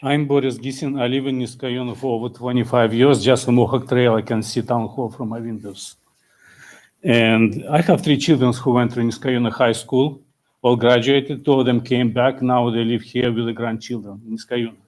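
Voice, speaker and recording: A man's voice: medium pitch, level tone, normal speed, a little mellow. Older man with a former-Soviet accent. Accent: former Soviet Union. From Soviet Union. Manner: earnest, plainspoken, with non-native grammar. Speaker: resident giving public comment. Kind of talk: prepared public comment at town meeting. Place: town hall meeting room.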